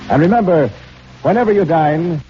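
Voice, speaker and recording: A man's voice: fairly high pitch, lively intonation, normal speed, dark tone. A man announcing and advertising on 1940s radio in a polished, emphatic, dramatic delivery. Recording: muffled old radio recording with music. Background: music.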